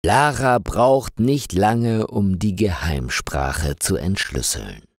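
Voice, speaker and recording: A man narrating a scripted story for language learners, reading slowly and carefully articulated with lively intonation. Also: low-pitched, no echo, clean studio sound.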